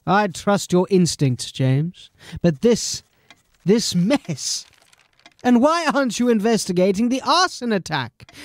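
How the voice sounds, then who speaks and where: An exasperated fantasy watch captain for an audio drama. His voice is high for a man and deliberately paced, with sardonic, clipped authority. The sound is dry, with no room around it. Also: no room sound, exaggerated voice. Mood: exasperated scolding. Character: stern, exasperated male police captain scolding a subordinate.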